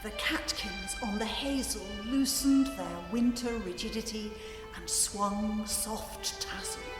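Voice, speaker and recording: A woman reading scripted literary prose as a narrator, in a measured, poetic way in a large space. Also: mid-range voice. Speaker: narrator of a musical cantata. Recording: echoey hall, music behind.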